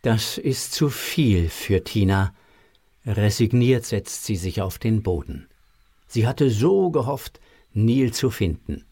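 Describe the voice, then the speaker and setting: A man narrating a scripted children's audio story with a husky voice. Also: on the low side, sing-song, slow, warm tone.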